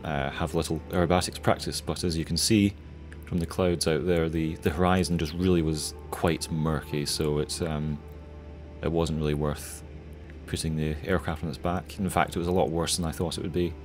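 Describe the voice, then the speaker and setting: A young man with a very low voice narrates as a pilot. His commentary is casual and conversational. Soft music plays faintly behind him. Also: steady tone, normal speed. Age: young adult.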